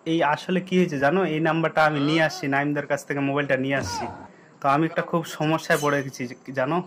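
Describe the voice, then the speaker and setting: Very fast-talking young man, insistent. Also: fairly high pitch, steady tone, a crowd in the background. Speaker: prank caller and video host. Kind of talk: casual prank phone call.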